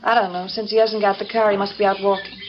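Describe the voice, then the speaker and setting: An actress playing a scheming, two-faced wife in an old-time radio melodrama, in short dramatic phrases. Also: female voice, mid-range voice, little pitch movement, fast, close to an everyday voice. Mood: cold, conspiratorial. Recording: dull, noisy vintage radio recording.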